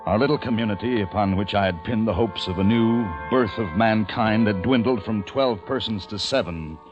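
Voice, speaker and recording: A man's voice: on the low side, moderate pace, dull audio, natural voice. An actor playing a weary, grieving man who leads a few survivors, in a solemn, dramatic old-time radio style. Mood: grim, solemn. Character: weary, grieving male survivor leading a small community. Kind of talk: old-time radio acting with first-person narration. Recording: old radio recording with music behind.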